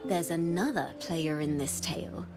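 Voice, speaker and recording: A woman's voice: deep voice, moderate pace, lightly acted. A woman voicing a theatrical young bard in a video game, telling tales in a lilting, poetic, dramatic manner. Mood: wistful storytelling. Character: theatrical young female bard, lyrical and cheerful storyteller. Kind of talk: video game voice acting, storytelling. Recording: music behind the voice.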